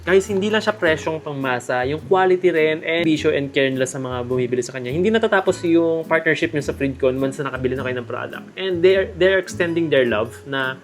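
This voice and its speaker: A man's voice: on the high side, brisk, few pauses. A man with a Filipino accent gives a casual, chatty product review, speaking directly to viewers in a jokey way. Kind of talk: casual unboxing vlog narration. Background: background music.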